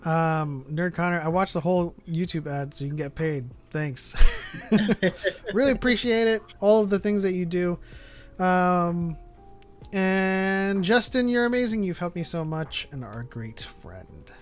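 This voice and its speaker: A man with a fairly high voice hosts a relaxed fan stream. He chats informally with co-hosts and viewers. Faint music plays underneath. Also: a little slow. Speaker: host of a fan livestream. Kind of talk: casual group discussion and reading viewer chat.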